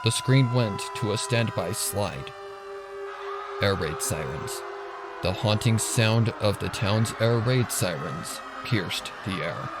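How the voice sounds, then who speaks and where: A man's voice: lowish voice, expressive, slow. A man reading a scripted story as a dramatic narrator. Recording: narration over music and sirens.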